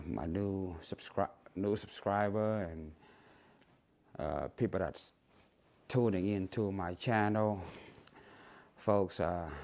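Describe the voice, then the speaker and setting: Cambodian guy in his 60s, deep and slow, rambling vlog talk with lots of uhs. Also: darkish tone. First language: Khmer. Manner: rambling, halting, many "uh"s and restarts. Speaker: YouTuber. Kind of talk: casual vlog to camera.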